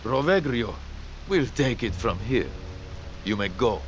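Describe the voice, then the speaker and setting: An actor playing a surly, suspicious cult guard in a fantasy game, curt and commanding. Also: male voice, medium pitch, sing-song, measured pace, slight background noise. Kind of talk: dramatic game cutscene acting. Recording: slightly dull sound under music.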